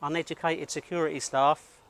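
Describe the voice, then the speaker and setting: British man, slightly high voice, blunt, self-assured, freelance videographer, casual outdoor narration and chat. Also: little pitch movement, moderate pace, a bit bright, clear, resonant tone. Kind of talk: narrating to camera and casual conversation. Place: outdoors at a college car park.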